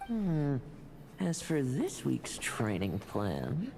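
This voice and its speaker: An actor playing a smug, mischievous young knight captain with a ruthless streak in a video game. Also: male voice, mid-range voice, huge pitch swings, extremely slow, creaky, a little mellow, soft background music, full character voice. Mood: playful, sly. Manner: playful, theatrical, sly.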